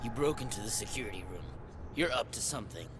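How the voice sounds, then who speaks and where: An actor playing a gruff, irritable security guard in a video game, blunt and grumbling with a sarcastic streak. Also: male voice, lowish voice, lively intonation, fast, a bit bright, slight background noise. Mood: suspicious, stern.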